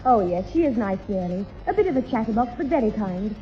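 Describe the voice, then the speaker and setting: An actress playing a kindly but exasperated young big sister in a 1960s radio sitcom, speaking with a Northern English accent. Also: female voice, a little high, sing-song, brisk, faint music behind. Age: young adult. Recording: muffled 1960s radio broadcast.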